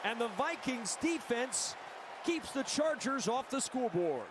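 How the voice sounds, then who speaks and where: A man's voice: extremely high pitch, very animated, unhurried, a crowd in the background. A man giving football play-by-play in broadcast commentary style. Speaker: play-by-play announcer. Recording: noisy game broadcast audio.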